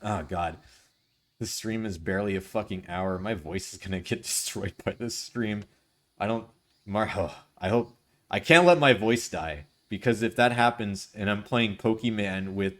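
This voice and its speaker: A Canadian man streaming, reading a visual novel aloud and bantering with chat in an ironic, playful way. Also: fairly low pitch. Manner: ironic, playful, self-mocking.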